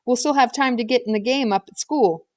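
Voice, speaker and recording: A woman's voice: a little high, fairly quick, no echo, voice pushed up, natural voice. A woman voicing a confident, slangy sixth-grade boy with a casual, pushy manner in an audiobook. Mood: easygoing. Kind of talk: audiobook character dialogue.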